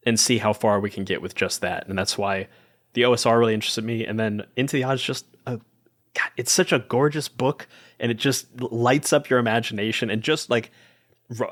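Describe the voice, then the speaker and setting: Eager man with a mid-pitched voice. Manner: eager, enthusiastic. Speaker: podcast guest and player. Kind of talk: casual chat.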